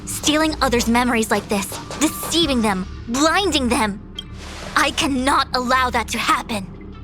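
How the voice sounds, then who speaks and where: A woman's voice: high-pitched, measured pace. A woman voicing an imperious, formal young diviner in an anime-style video game. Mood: indignant. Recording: music bed under game dialogue.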